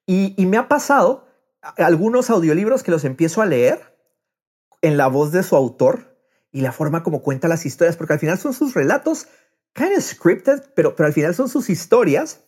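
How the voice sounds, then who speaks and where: A man with a fairly high, husky voice talks casually as if on a podcast. His pitch swings widely and lively, and he slips English phrases into his sentences. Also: moderate pace. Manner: drops English phrases into Spanish. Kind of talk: casual conversational podcast talk.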